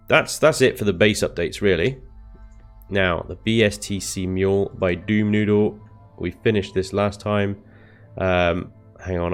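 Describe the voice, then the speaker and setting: Deep hoarse guy w the flu rambling thru his game, chuckles a lot, faint music behind. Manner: chatty, self-deprecating, frequent ums and chuckles. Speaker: gaming video host. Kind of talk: casual gameplay commentary.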